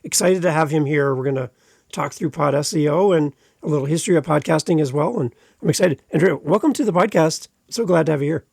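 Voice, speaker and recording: Upbeat male podcast host, fairly high voice. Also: fast, vocal fry, darkish tone, no room sound, studio quality. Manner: upbeat, promotional. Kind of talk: enthusiastic podcast hosting.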